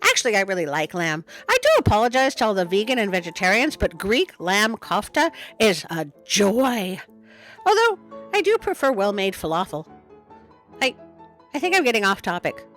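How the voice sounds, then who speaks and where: A woman reading a children's story aloud in a playful, expressive way, with chatty asides. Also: mid-range voice, normal speed, faint music behind. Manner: playful, expressive, self-interrupting. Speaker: storytime host and reader.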